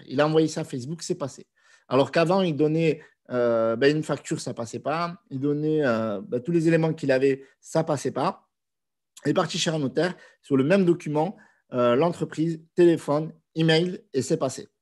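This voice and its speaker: A man in his thirties with a cold, casually answering viewer questions in a live stream from a small room on a video call with a desk microphone. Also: medium pitch. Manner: relaxed, conversational, with frequent hesitations. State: has a cold.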